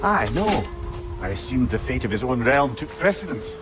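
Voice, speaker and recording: Man acting wry old Scottish storyteller, lively lilt. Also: mid-range voice, moderate pace, natural voice. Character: wry, learned old severed head, companion and storyteller. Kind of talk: video game character acting. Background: music.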